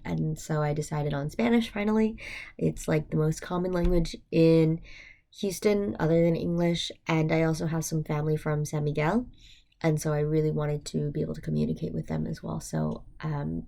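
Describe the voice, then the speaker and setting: A young American woman speaks in a low, gravelly register with plenty of vocal fry and a mellow tone. She talks informally, as a student reflecting out loud, pausing often to find her words. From United States. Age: young adult. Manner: hesitant, thinking aloud, many "like" fillers. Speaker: university student. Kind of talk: informal reflective monologue for a class.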